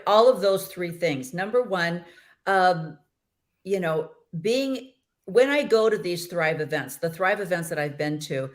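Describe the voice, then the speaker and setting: A middle-aged woman hosting a casual interview over a video call, chatty and rambling. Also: lowish voice. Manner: chatty, laughs easily, rambling. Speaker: host.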